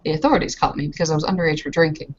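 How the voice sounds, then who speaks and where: Woman playing a shaken, naive young girl, low-pitched. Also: fairly even intonation, muffled recording. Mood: upset, defensive. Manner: hesitant, halting, earnest.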